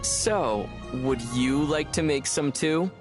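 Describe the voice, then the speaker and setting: A woman playing a young male traveler hero in a video game, calm, dry and gently teasing. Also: sits low, takes it slowly, music in the background. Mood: matter-of-fact.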